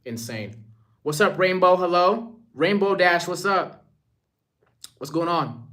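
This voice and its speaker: A man's voice: sits high, lively intonation, slow pace, fairly bright tone. A young man from Rwanda talking casually and informally to his live-stream viewers, using slang and often repeating himself.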